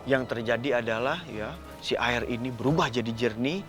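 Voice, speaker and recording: A man's voice: mid-range voice, normal speed, over music. A man telling a story to children in a colloquial, exclamatory way, addressing his young listeners directly. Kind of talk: animated oral storytelling for children.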